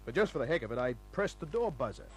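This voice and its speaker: Actor as wisecracking hard-boiled detective, hissy old radio. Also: male voice, mid-range voice, very animated, fast, close to an everyday voice. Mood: dry narrating. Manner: laconic, breezy, sardonic. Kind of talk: old-time radio acting and first-person narration. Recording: noisy humming old radio recording.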